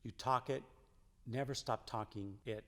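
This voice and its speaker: A man's voice: medium pitch, a little slow. A man, a church member, reading quotations aloud in a solemn, scripted way. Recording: long hall reverb.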